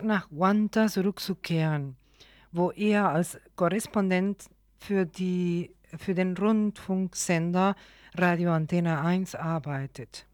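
A woman reads a radio news report at a very slow pace, stumbling and pausing as she goes. Her voice sits fairly low, and the sound is dry with no room echo. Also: darkish tone. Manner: halting reading with stumbles and pauses. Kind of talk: read-out human rights news report.

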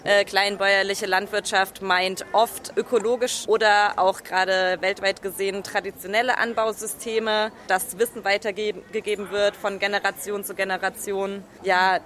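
A woman's voice: mid-range voice, a bit bright, a little hiss or hum. Woman interviewer out at a market. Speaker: street interviewer. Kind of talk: vox pop interview questions. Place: busy outdoor market.

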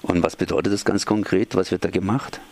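A man's voice: deep voice, little pitch movement, brisk, a little mellow. A man asking questions as the interviewer in a conversational radio interview. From Germany.

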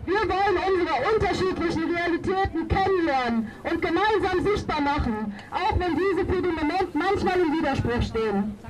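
A woman's voice: very high voice, animated, normal speed, few pauses. A woman activist giving a prepared, resolute political speech at an outdoor demonstration. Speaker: demonstration speaker, feminist activist. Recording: distant microphone at outdoor rally.

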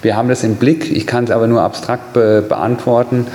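A man's voice: mid-range voice, little pitch movement, moderate pace, dark tone. A man answering as an official in a committee meeting, explaining things off the cuff. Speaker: city administration official. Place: large indoor meeting room. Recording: echoey meeting hall with clear background noise.

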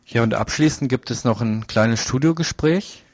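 A man presents a live radio news magazine. He has a medium-pitched voice and speaks partly from a script, partly freely. The sound is close and dry. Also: moderate pace, dry recording.

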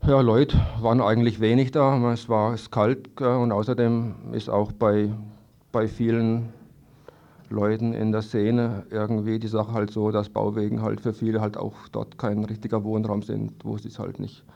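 A man gives a hesitant radio interview in a low, fairly even voice. He repeats words and pauses mid-sentence. The sound is dull and lo-fi, like an old broadcast tape. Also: gravelly. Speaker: interviewed evicted trailer-camp resident. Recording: dull lo-fi archival radio recording.

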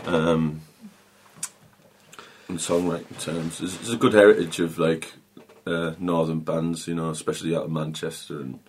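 A man from talks in a very low voice. He is relaxed and dry-humoured as he chats through an interview. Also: moderate pace, slight background noise. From North East England. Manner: laid-back, dry humour. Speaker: singer and guitarist. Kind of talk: interview chat.

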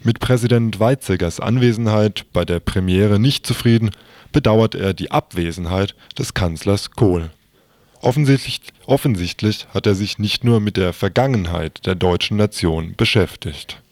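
Male radio presenter, lowish voice, close dry sound, scripted report delivery. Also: moderate pace, a little mellow, no echo.

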